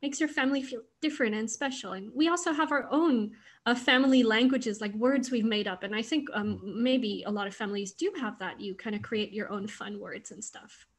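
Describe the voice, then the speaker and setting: A woman's voice: a little high, brisk. A young woman whose first language is Afrikaans, speaking earnestly and thoughtfully as a guest in a conversational interview. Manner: thoughtful, earnest, with frequent fillers.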